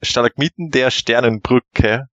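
A man on a podcast panel chatting casually and enthusiastically as a fan, halting and often repeating words. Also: mid-range voice, fairly bright tone, no room sound, highs cut off.

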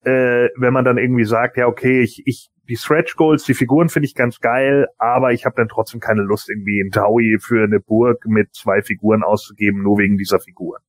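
Male panelist, medium-pitched voice, very dry recording, informal and colloquial chat. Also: steady tone, fairly quick, few pauses, no room sound. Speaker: podcast panelist. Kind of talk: casual chat, personal opinions.

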